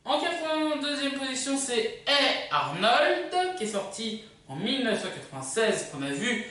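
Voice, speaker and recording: A woman hosting a casual countdown video, presenting in a hesitant, drawn-out way. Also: on the high side, very animated, a bit bright. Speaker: video host. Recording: very echoey, distant from microphone.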